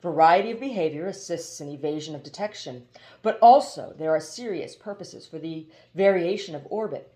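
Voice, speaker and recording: An emphatic, talkative mechanical bird from a storybook, acted out by a woman. The delivery swings widely in pitch, brisk and lecturing, with a stutter on repeated words. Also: animated, warm tone, close to an everyday voice. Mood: proud, emphatic. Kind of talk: storybook character voice.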